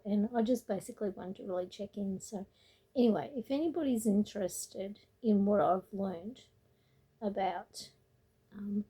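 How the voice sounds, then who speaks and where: Tired, raspy woman rambling softly. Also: mid-range voice. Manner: meandering, with frequent ums and restarts. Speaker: crochet vlogger. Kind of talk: casual late-night rambling vlog. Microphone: tablet camera.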